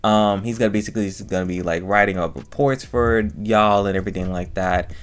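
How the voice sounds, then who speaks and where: A man's voice: fairly low pitch, steady tone, brisk, clean tone. A man giving a casual, chatty review commentary as host, rambling and repeating himself in slangy talk. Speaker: reviewer of an audio drama and channel host.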